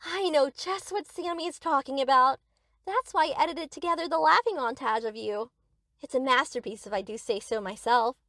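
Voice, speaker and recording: A woman's voice: high-pitched, moderate pace, a bit bright, no echo, studio quality. A woman playing a young yandere best friend in a scripted roleplay, doting on the listener with cheerful, possessive obsession. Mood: gushing, proud.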